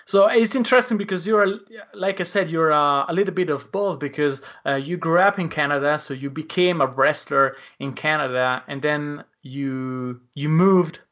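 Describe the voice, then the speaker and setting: A man hosting a podcast interview, speaking in quick bursts with many fillers and grammar. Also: a little high, a bit bright, dull audio. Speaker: podcast host.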